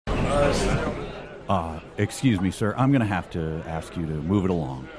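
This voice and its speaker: A fussy fantasy tavern attendant, played by a man for a comedy sketch. He has a lowish voice and an officious, pedantic, mock-polite way of laying down the rules. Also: expressive, a little slow, very noisy.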